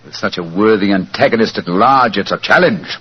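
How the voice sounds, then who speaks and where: An actor playing a shrewd, wry British gentleman detective in a theatrical, courteous 1940s radio drama style. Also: fairly low pitch, a little fast, slight background noise, lightly acted. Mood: amused, admiring. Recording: dull, lo-fi old radio recording.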